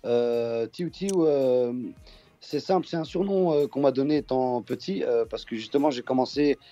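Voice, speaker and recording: A man from Belgium, a guest musician talking in a relaxed way with frequent fillers and restarts. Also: medium pitch, normal speed, lo-fi sound, soft background music.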